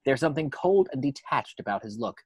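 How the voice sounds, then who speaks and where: A man's voice: mid-range voice, animated, fairly quick, darkish tone, husky, no room sound, dull audio. A man narrating stage directions from a script at an amateur table read.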